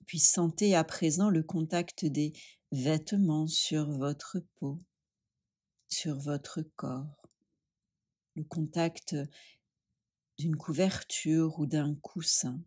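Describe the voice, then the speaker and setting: Woman doing guided meditation, soft husky low voice, lots of pauses, soothing. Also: fairly even intonation. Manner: soothing, gentle, with long pauses. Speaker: yoga nidra instructor.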